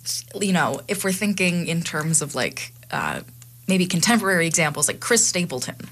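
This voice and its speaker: A woman's voice: on the low side, big pitch swings, a bit bright. A young woman co-hosting a radio show in a studio, chatting and announcing in an upbeat way. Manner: chatty, upbeat, quick asides with laughs. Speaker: radio co-host. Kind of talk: casual radio chat and announcing. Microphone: studio microphone.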